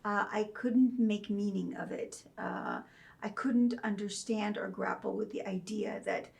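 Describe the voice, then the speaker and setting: A middle-aged woman from Saudi Arabia, a religion professor speaking as an interview guest, telling her story in a measured, thoughtful way with soft fillers. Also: mid-range voice, very animated, vocal fry.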